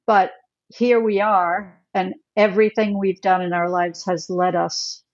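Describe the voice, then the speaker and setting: A woman's voice: medium pitch, level tone, measured pace, muffled recording. A woman speaking casually as a podcast host in conversation.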